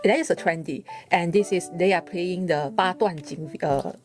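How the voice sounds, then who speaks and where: A woman's voice: lowish voice, normal speed, darkish tone, soft background music. A young woman from Malaysia, a university student guest, talking in a hesitant way with many uhs. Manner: hesitant, non-native grammar, many uhs. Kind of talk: casual podcast chat.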